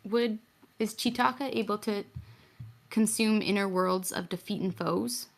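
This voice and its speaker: A woman's voice: medium pitch, steady tone, measured pace, gravelly. A woman playing a tabletop roleplay, asking and answering casually as a player. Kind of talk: casual game chat.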